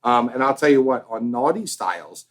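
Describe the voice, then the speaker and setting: A man hosting a casual interview, animated and often prompting the others with questions and interjections. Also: mid-range voice, moderate pace. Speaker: podcast host.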